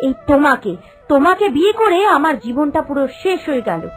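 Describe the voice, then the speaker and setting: Woman acting a very high-pitched melodramatic young wife. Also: expressive, a little fast, soft background music. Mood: bitter complaining. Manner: plaintive, emphatic, repeating phrases. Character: discontented, melodramatic young working wife, excitable and bossy. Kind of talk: dramatic cartoon voice acting.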